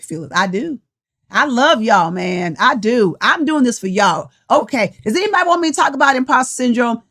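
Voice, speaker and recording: A woman's voice: on the high side, fairly bright tone. A sixty-year-old woman coach, giving a passionate, tired, preachy live talk straight to her viewers. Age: 60s. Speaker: life coach. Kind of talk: motivational live-stream talk.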